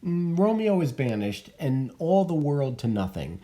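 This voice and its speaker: A man reading the part of an older woman servant who brisk and matter-of-factly persuades. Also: on the high side, very animated, warm tone, voice pushed up. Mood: persuading. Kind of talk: dramatic reading of a play.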